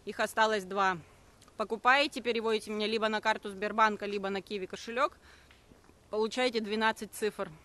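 A woman in her thirties, talking on a live stream while she walks a forest path with her phone held at arm's length. She answers viewers' comments briskly and defensively and hesitates often. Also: medium pitch, fairly even intonation, pushed and bright. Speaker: network marketing streamer. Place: walking outdoors on a forest path.

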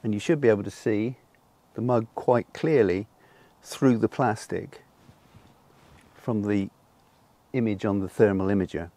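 A man's voice: on the low side, a little slow, pauses often, dark tone, no room sound. An older man with a lapel mic, explaining a demonstration outdoors in a garden in a patient way, with frequent ums. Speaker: solar cooking presenter. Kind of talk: unscripted demonstration.

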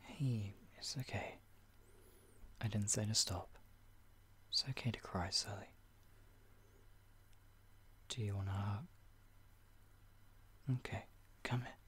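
A young man gently comforting the listener in a whispered roleplay, speaking in a soothing, caring way. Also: fairly low pitch, fairly even intonation, takes it slowly, long pauses, slight background noise.

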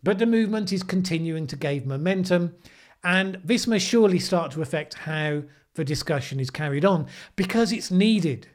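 A man in his fifties presents opinionated news commentary into a close desk microphone. His voice sits a little high, and his manner is sardonic. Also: animated, moderate pace. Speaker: host of a weekly news commentary show. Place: small indoor room, plain backdrop. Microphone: microphone on a desk boom arm.

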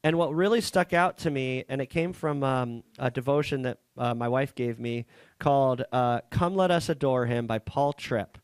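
A man in his thirties preaching a sermon at a small coffee-shop church, earnest and halting, with frequent restarts, speaking into a headset mic. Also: mid-range voice, smooth, no room sound. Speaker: pastor.

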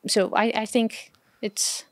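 A woman in her thirties from Portugal with a Portuguese accent, a travel blogger chatting as an interview guest, soft-spoken and hesitant, laughing often. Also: slow pace, dry recording, studio quality. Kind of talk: casual interview chat.

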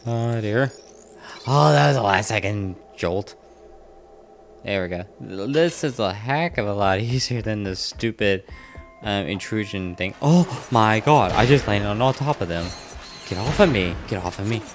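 A young man hosting a let's-play, chatting in a rambling, casual way and talking back to the enemies on screen, keeping his naturally loud voice down at home. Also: fairly low pitch, expressive, measured pace, slight background noise. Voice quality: loud voice held down to a murmur. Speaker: gaming channel host. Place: home room next to a neighbor's bedroom. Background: faint game music.

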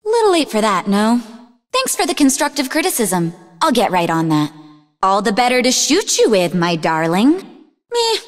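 An actress playing a sarcastic, sharp-tongued young woman scientist in a video game, with a mocking, snappy manner. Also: high-pitched, heavily acted. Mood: exasperated. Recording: long reverberant hall echo.

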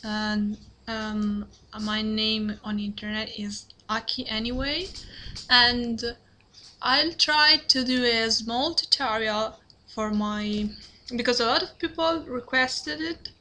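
A young Italian woman talks through a drawing lesson at her computer in hesitant, accented English. She speaks slowly, with a bright, fairly high voice, stopping often to apologize and search for words. A faint hiss sits behind her. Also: steady tone. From Italy. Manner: halting, apologetic, many fillers and restarts. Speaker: drawing tutorial creator. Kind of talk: hesitant screen-recorded drawing tutorial. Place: at a computer, indoors.

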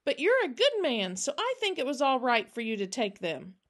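An earnest little storybook girl, pitched high and brimming with curiosity. She speaks in a bouncy, questioning way with childlike eagerness. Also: wildly swooping pitch, moderate pace, fairly bright tone, heavily acted.

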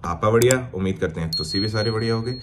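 A young man chats with his viewers in a relaxed, friendly flow, mixing in English phrases. His voice sits somewhat low. The sound is roomy and echoey, with a faint hum of ambient noise behind it. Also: fast talker. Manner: chatty, friendly, sprinkles English phrases, calls viewers bhai and yaar. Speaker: fitness vlogger. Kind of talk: casual vlog chat to camera. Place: indoor rooms, a gym and a bedroom. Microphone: handheld phone selfie and fixed camera.

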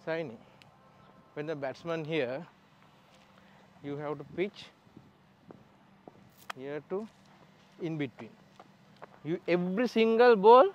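A man's voice: on the high side, slow, long pauses, darkish tone, highs cut off, a little hiss or hum. A Sri Lankan man coaching bowlers at outdoor nets, giving short, direct instructions in broken English and laughing often. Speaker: bowling coach. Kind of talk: coaching at net practice. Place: outdoor cricket nets at a training ground. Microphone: clip-on mic.

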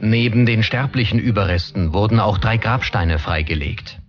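A man narrating a documentary from a script in a measured, serious manner. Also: fairly low pitch, muffled recording. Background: background music.

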